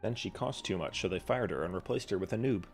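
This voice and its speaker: Casual female game streamer: low, fry-heavy voice, dry and deadpan running commentary. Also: fast talker, faint music behind.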